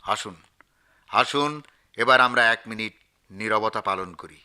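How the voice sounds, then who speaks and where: A man's voice: on the low side, unhurried, pushed and bright, a little hiss or hum. A man in a radio play acting a nervous, evasive newspaper editor who repeats himself deferentially. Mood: solemn announcing. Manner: stammering, repetitive, nervously deferential. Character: flustered, evasive, placating male newspaper editor.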